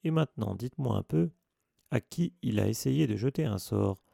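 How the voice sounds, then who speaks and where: Male narrator voicing a huge blunt giant, growling, rough-edged, dry close mic. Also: mid-range voice, lively intonation, normal speed, dark tone, dry recording. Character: huge gruff man, gamekeeper, blunt.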